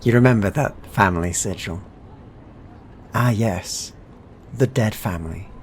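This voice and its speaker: Deep-voiced man narrating a fantasy game. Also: measured pace, slight background noise. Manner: descriptive, evocative storytelling. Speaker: game master.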